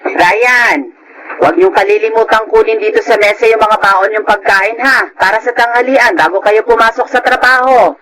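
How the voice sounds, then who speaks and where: A woman's voice: on the high side, fairly even intonation, normal speed, a bit bright, slight background noise, natural voice, rough recording. An actress playing a kind, motherly aunt in a Filipino radio drama, fussing and pleading with care. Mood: caring, fussing.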